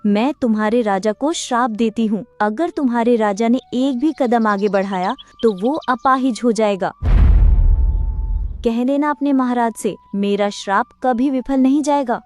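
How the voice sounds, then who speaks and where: Lady doing cartoon mom goat, highish voice, talks back hard. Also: measured pace, slight background noise, lightly acted. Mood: bitter. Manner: pleading, then defiant and cursing. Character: wronged mother goat, proud and defiant. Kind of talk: Hindi cartoon dubbing.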